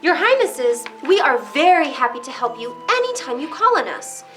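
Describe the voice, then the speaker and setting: A woman's voice: high-pitched, sing-song, normal speed, fairly bright tone, faint music behind. A woman playing an eager, courteous magical creature in a children's reader's theater play.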